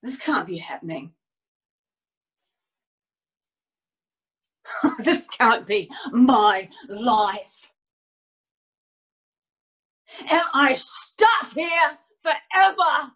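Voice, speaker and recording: A woman performing a dramatic monologue as a distraught, bewildered woman, speaking haltingly with repeated phrases and long pauses. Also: sits high, lively intonation, a bit bright, full character voice. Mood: despairing, disbelieving. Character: distraught, bewildered woman whose life has suddenly fallen apart.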